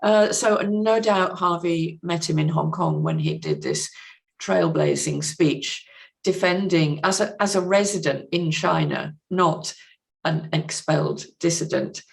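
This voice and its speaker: Low, creaky-voiced woman explaining thoughtfully. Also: lively intonation. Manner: considered, articulate, pausing to find words. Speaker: podcast guest, literary translator. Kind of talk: conversational explanation in an interview.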